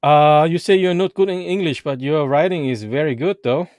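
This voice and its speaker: A man whose first language is German, hosting a casual livestream chat with halting, animated talk. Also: fairly high pitch, dry recording, clean studio sound. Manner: halting, restarts and fillers, animated. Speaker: vlog host. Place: Edmonton, Canada.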